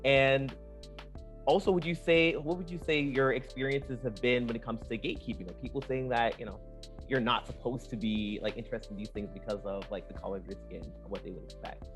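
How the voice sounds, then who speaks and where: Male host, polite and slightly hesitant questioning, medium pitch, music bed. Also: steady tone, brisk. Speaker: interviewer, host. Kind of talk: casual interview questioning.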